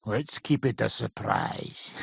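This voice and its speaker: An actor playing a crude, hot-tempered medieval soldier in a video game, gruff and mocking, with a husky rasp. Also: male voice, on the low side, huge pitch swings, slow pace, no room sound, studio quality, full character voice. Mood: gruff, dismissive. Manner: coarse, swearing, taunting.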